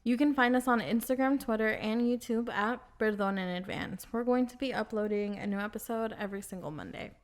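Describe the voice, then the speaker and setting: A woman's voice: on the high side, fairly quick, hardly any pauses. Giggly young woman hosting a chat. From Peru. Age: young adult. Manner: laughs often, chatty and upbeat. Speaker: podcast host. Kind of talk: casual chat.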